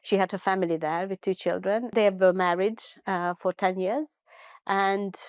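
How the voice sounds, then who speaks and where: A woman's voice: medium pitch, fairly even intonation, normal speed, clear, resonant tone, no echo, clean studio sound. A middle-aged woman from Hungary, telling her life story as an interview guest in a reflective way, often restarting and correcting herself. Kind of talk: personal life story told in conversation.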